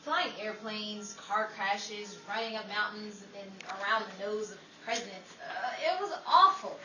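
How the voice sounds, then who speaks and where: A woman playing a weary, sharp-tongued working wife in an old-time radio comedy, with dry, nagging sarcasm. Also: medium pitch, unhurried, recorded from a distance, natural voice. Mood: weary, dramatic. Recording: echoey, noisy room, off-mic.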